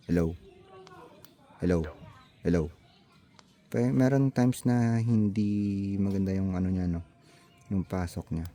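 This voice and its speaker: Slow, low-voiced Filipino man. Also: mellow. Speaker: vlogger demonstrating gear. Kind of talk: casual hands-on tech demonstration. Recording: faint background noise.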